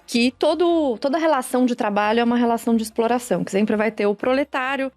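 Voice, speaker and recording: A woman's voice: a little high, quick pace, darkish tone. A woman hosting a podcast in casual conversation, argumentative, building long explanatory points.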